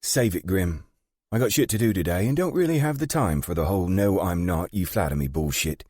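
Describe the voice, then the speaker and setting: A man voicing a tough, foul-mouthed gang matriarch and grandmother with a British accent, blunt and sharp. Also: deep voice, animated, a little fast, dry recording, clean studio sound, pitched above the performer's own voice. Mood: impatient, sharp.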